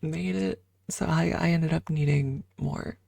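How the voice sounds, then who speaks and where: Female ASMR streamer: lowish, creaky voice, soft casual chat slipping in and out of whispers, close-miked. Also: fairly even intonation, no room sound. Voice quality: breathy, soft. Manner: halting, informal, drifting between whisper and soft talk. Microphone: close microphone.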